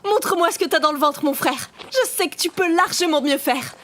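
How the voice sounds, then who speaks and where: An actress playing a tough, teasing and affectionate young combat mentor in an audio drama. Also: female voice, very high-pitched, a little fast, fairly bright tone, slight background noise. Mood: playful, proud.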